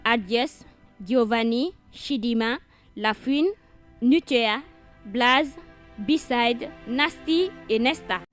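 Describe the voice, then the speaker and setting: A woman's voice: fairly high pitch, extremely slow, faint music behind. A woman reading a formal scripted introduction as narrator. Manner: formal read-out.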